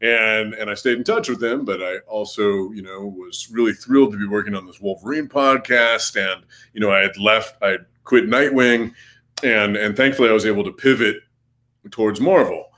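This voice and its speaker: Low-voiced, self-deprecating male writer guest. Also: normal speed, sharp tone. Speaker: comic book writer, guest. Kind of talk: casual interview chat.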